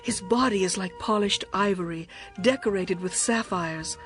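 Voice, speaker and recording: A woman's voice: mid-range voice, moderate pace, lightly acted. Yearning young bride, acted by a woman, music behind. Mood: adoring. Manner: poetic, expressive delivery. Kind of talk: dramatized scripture reading.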